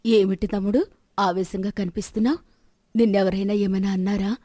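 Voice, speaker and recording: A woman's voice: mid-range voice, fairly even intonation, brisk, dark tone, no echo, studio quality, voice pushed down, lightly acted. A woman voicing an imperious, indignant queen and protective elder sister in a story drama, stern and commanding. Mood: concerned, imperious. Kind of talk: scripted Telugu moral-story dramatization.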